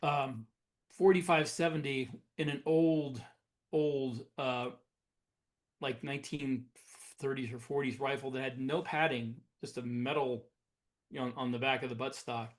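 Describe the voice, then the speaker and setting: A man's voice: mid-range voice, fairly even intonation, measured pace. A man co-hosting a podcast, explaining his opinions in casual conversation. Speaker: podcast co-host.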